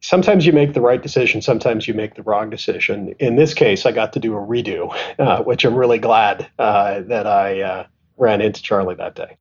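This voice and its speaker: A middle-aged man from Canada speaks in a husky, somewhat breathy voice, recounting his career with self-deprecating asides. He pauses and restarts often as he thinks. The recording sounds dull and low-fidelity, as if from a remote call. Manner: thoughtful, self-deprecating, frequent restarts and fillers. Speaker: asset management executive, guest. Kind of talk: conversational interview storytelling.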